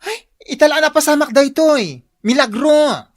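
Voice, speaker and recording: An actress playing a nosy, irritable daughter with an Ilocano accent in a comedy radio drama, loud and complaining. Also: female voice, sits high, lively intonation, measured pace. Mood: indignant.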